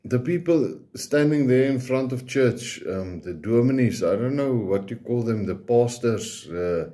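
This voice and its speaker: A man's voice: mid-range voice, expressive, measured pace, dark tone. A man from South Africa whose first language is Afrikaans gives an informal, hesitant preaching talk in English with an Afrikaans accent, often ending phrases with a questioning tag.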